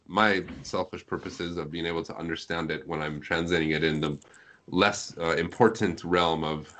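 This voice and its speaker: A man's voice: sits low, a bit bright. A man, around his thirties, chatting casually on a podcast, explaining things in a self-deprecating way. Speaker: guest, literary translator. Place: at home on an internet call.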